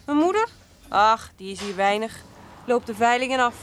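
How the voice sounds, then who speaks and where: A woman's voice: fairly high pitch, lively intonation, normal speed, a bit bright. An actress playing a self-assured, teasing young woman from a riding stable in a radio play. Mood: chatty. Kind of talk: radio drama acting.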